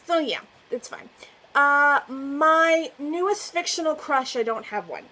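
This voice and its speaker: A woman's voice: high-pitched, expressive, measured pace, bright, forceful tone. A woman book vlogger chatting casually to her viewers, gushing and effusive and laughing often. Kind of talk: casual chat to camera.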